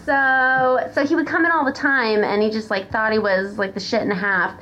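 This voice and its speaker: A woman's voice: fairly high pitch, fairly even intonation, moderate pace, bright, forceful tone, low-quality recording. A woman guest on a live stage telling comic stories in an informal, sweary, casual way. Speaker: podcast guest, former restaurant hostess. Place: stage in front of an audience.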